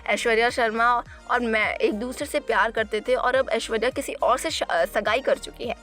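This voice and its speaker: Female gossip presenter, high-pitched, informal retelling with frequent self-corrections over background music. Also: steady tone, moderate pace, a bit bright. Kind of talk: informal celebrity news narration.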